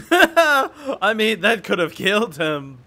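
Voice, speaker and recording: A man giving casual let's play commentary as a game streamer, halting and repeating words as he thinks aloud. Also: sits high, big pitch swings, sharp tone.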